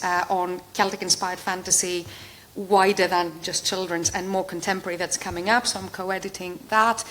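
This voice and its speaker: A woman whose first language is Greek talks as a scholar guest before a live audience. She speaks at a middle pitch, measured and reflective, often letting phrases fade away quietly. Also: slight background noise, low-quality recording. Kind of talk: live interview answers.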